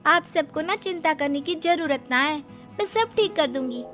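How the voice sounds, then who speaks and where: A woman's voice: high voice, lively intonation, moderate pace, pushed and bright, faint music behind. A woman playing a plucky, practical young village daughter-in-law in a cartoon, with rustic, emphatic speech. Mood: determined.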